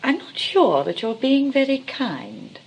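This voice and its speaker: An actress as a flirtatious society woman in a twenties stage comedy. Her voice swoops up and down with a soft husky breathiness. She is coy and gushing, with an archly polite manner that turns readily indignant. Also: high-pitched, wildly swooping pitch, a little slow, exaggerated voice, low-quality recording. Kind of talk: 1920s English comedy of manners stage acting.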